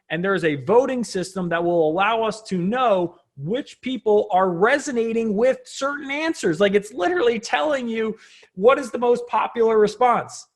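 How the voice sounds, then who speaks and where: A man presenting a casual, unscripted tutorial as a coach, talking conversationally and often checking in with the listener. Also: sits high. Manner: conversational, frequently checking in with "right?".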